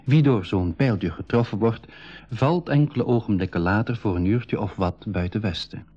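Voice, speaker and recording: A man narrating a school radio adventure play in a measured, explanatory way. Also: fairly low pitch, mellow, breathy edge. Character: composed male storyteller explaining an adventure to listeners. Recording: slightly dull 1980s radio recording.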